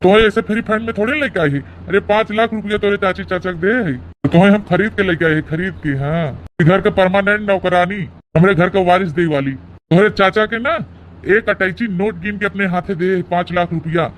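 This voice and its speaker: A man's voice: sits high, fairly quick, fairly bright tone, a little hiss or hum, poor audio. A man voicing a smug, callous old husband in a comic cartoon, blunt and boastful, with an Awadhi accent. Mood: stern, boastful. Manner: blunt, boastful, emphatic repetition. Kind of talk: comic cartoon family drama.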